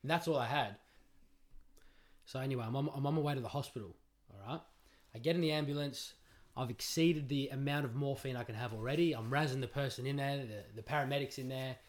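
A man's voice: mid-range voice, quick pace. A young Australian man on a podcast, telling a jokey story in casual chat into a studio mic. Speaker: podcast guest, footballer.

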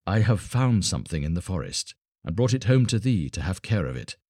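A kind-hearted fairy-tale woodcutter with a deep, husky voice, speaking earnestly and steadily. A man plays him while reading the tale. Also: sing-song, brisk, darkish tone, no room sound, clean studio sound, full character voice. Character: kind-hearted poor woodcutter, gentle but firm.

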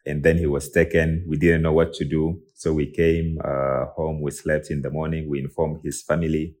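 A young man from South Sudan telling his life story as a podcast guest, halting, with many restarts and fillers. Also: very deep voice, little pitch movement, a little mellow. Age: young adult. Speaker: guest, tech and IP lawyer. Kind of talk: personal storytelling in an interview.

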